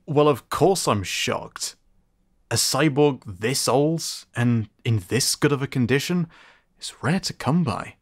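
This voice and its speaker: A man's voice: medium pitch, animated, slow pace, husky. An actor playing a friendly, teasing sci-fi repairman in an ASMR roleplay, with a casual, joking manner.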